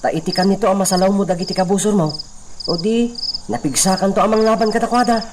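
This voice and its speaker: A man's voice: high-pitched, steady tone, fast talker, distant mic, close to an everyday voice. A man playing a loyal, caring friend who offers help, speaking expressively and emphatically in a radio drama. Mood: reassuring. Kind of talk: Philippine radio drama acting. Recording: loud crickets and insect noise.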